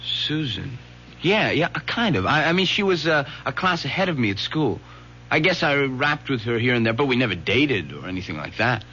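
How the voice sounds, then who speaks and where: A man's voice: mid-range voice, very animated, normal speed, sharp tone. An actor playing a hesitant, loyal young man, a student son, in an old radio drama, sounding evasive. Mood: uneasy, evasive. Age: young adult. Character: uneasy, loyal student son, about twenty. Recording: muffled, hissy old radio recording.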